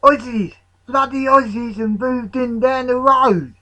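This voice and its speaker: A man voicing a fussy, excitable cricket fan in a storybook, speaking emphatically and with agitation. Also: high-pitched, slow, pitched above the performer's own voice, heavily acted, rough recording. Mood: alarmed, indignant.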